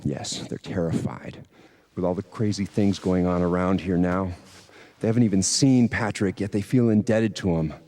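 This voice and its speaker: An actor playing a stern older priest on a secret mission, speaking in a grave, measured, guarded way in a video game. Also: male voice, sits low, steady tone, darkish tone, close to an everyday voice.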